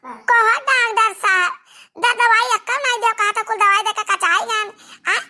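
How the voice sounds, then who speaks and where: An actor voicing a melodramatic cartoon village woman with a squeaky, creaky voice and an Awadhi accent, wailing and scolding. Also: steady tone, measured pace, pushed and bright, exaggerated voice. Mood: indignant. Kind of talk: exaggerated cartoon comedy dialogue.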